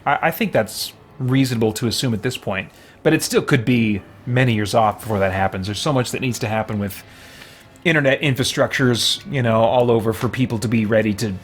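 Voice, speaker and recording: Man streaming, chatting over background noise. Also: mid-range voice. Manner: halting, rambling, thinking aloud. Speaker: game streamer. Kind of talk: casual live stream chat while playing, reading viewer comments.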